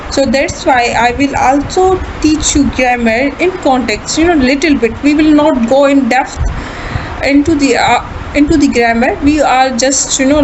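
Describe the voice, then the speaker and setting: High-pitched Pakistani woman teaching, noisy muffled recording. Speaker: English language instructor. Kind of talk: spoken English lesson demo for beginners. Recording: muffled audio with loud background noise.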